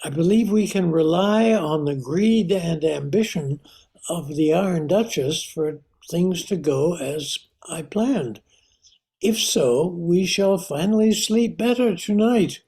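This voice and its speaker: Slow, mellow, hesitant scientist played by a man. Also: high voice. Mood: nervous. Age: older. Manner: hesitant, formal, mild-mannered. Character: anxious, apologetic male scientist, husband and uncle. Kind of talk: amateur old-time radio drama acting.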